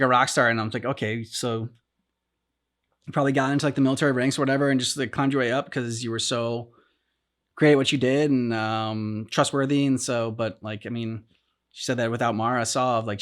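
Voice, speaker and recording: A man in a casual discussion, talking as a reaction show host in a halting, rambling way as he thinks aloud. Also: medium pitch, fairly even intonation, a bit bright. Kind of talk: casual discussion of video game story.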